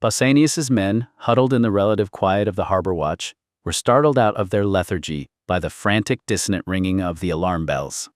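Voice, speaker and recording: A man's voice: deep voice, a little fast, hardly any pauses, dry recording, clean studio sound. A man narrating historical fiction as a scripted audiobook, in a theatrical, intense and suspenseful manner.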